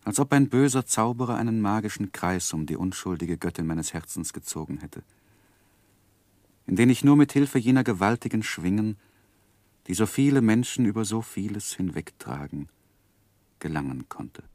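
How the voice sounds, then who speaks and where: Man playing earnest lovesick gentleman telling his life, low voice, very dry sound, old sixties radio play, measured and literary. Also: lively intonation, no room sound, lightly acted. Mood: wistful. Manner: measured, introspective literary delivery. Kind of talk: 1960s German radio drama, literary first-person narration.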